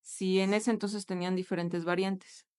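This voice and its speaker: A woman's voice: medium pitch, little pitch movement, normal speed, darkish tone, clear, resonant tone. A woman in an online class, asking the teacher a question relayed from a comment. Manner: relays a question read aloud.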